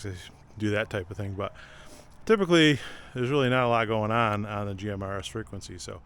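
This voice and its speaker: A man's voice: lowish voice, a bit bright. A man in his fifties talking casually to camera in a plain-spoken, informal way, as a vlog host. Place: outdoors by a driveway and inside a car. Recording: clear road and car noise.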